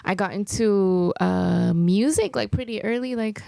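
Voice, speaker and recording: A woman's voice: medium pitch, a little slow, vocal fry, warm tone, no echo. A young woman from Malaysia, a singer chatting casually as an interview guest, filling her talk with "like" and "um". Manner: chatty, many fillers like "like" and "um". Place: recording studio.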